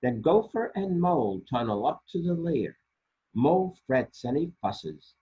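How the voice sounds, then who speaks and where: A man reading children's picture books aloud as a storytime host, expressively and deliberately, with dramatic pauses and a husky voice. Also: fairly high pitch, big pitch swings, dull audio. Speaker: storytime reader for a library.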